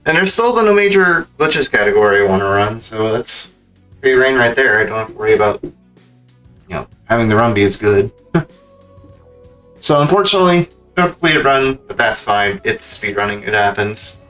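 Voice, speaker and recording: A male game streamer with a fairly low but bright, forceful voice. He talks in a casual, rambling style, loose and slangy, as if wrapping up a livestream. Music plays behind him. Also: lively intonation, moderate pace. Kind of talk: casual livestream sign-off chat. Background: background music.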